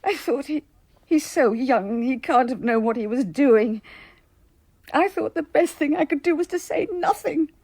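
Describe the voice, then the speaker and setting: High-voiced, distraught genteel woman. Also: expressive, measured pace, a little mellow, dry recording, full character voice. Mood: anguished, guilty. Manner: genteel, emotional. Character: distraught, normally self-possessed genteel woman. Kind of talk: radio drama acting.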